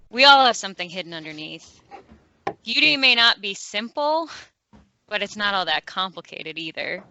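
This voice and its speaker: A woman's voice: medium pitch, pushed and bright, smooth, dry recording, rough recording. A woman playing a guarded, wistful lover with a dry, reflective way of talking at a table read. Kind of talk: script table read, drama.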